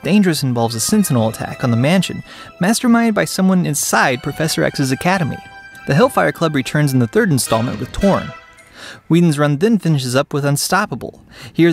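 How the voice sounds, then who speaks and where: A man's voice: on the high side, creaky. A man hosting a video review, presenting his take as a reviewer. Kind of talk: entertainment review, part to camera, part scripted narration. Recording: music behind the voice. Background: background music.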